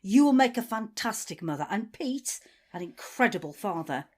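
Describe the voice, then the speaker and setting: A woman's voice: mid-range voice, lively intonation, moderate pace, a little mellow, natural voice. An actress playing the authoritative female leader of a survivor community in an audio drama. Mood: supportive. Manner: authoritative, swearing, shifts from supportive to domineering. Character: commanding female community leader, confiding yet ruthless.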